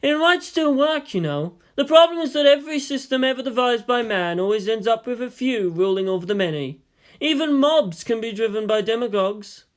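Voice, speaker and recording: Male narrator acting weary scholarly statesman, story reading, raised high voice, measured, reflective lecturing. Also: animated. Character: weary, thoughtful male statesman and historian mentor.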